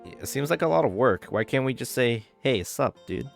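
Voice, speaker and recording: A woman's voice: rumbling low, expressive, a little fast, vocal fry, faint music behind. A woman giving casual, wry gameplay commentary, reacting in a laid-back way as she plays. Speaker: gaming video creator playing and reacting.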